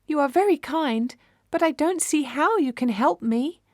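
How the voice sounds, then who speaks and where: Woman as a high-voiced tiny timid girl. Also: expressive, a little slow, a little mellow, no echo, studio quality. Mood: doubtful, grateful. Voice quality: shaky. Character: tiny, sweet, frightened little girl, thumb-sized.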